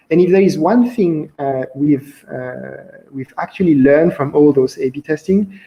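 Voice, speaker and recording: A young man with a French accent talks through a conference presentation on a video call. His voice sits a little high with a soft, mellow tone, and he keeps things loose and conversational, dropping in "uh" as he goes. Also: low-quality recording. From France. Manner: relaxed, conversational with frequent "uh" fillers. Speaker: conference speaker, company founder. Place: indoor room, on video call.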